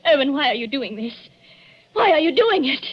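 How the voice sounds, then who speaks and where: An actress playing an anxious, defensive wife in an old radio suspense drama, speaking in short, dramatic replies. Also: female voice, high voice, sing-song, normal speed. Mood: frightened. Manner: tense, dramatic, clipped replies. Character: anxious, defensive wife cornered by her husband. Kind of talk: 1940s radio suspense acting. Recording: muffled old radio recording.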